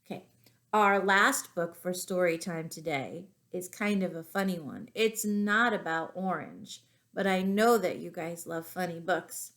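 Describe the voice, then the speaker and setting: A woman reading stories aloud to young children, expressive, pausing to ask them questions. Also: fairly low pitch. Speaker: children's storytime reader and teacher.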